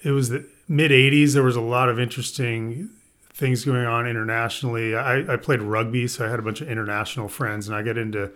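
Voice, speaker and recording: Man, midwest upbringing, podcast guest, relaxed and rambling, mid-pitched voice. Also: brisk. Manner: relaxed, rambling, with fillers and laughs. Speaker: guest winemaker. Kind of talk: casual interview chat.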